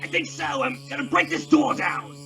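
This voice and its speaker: A man acting a jumpy, blustering outlaw henchman in a western radio play. The voice is very high for a man and swings wildly in pitch, with gravelly fry on it. The talk is rough and slangy. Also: sing-song, moderate pace, bright, forceful tone, soft background music, full character voice. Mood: panicked. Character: nervy, belligerent male crook henchman called Monk. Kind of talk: old-time western radio drama acting.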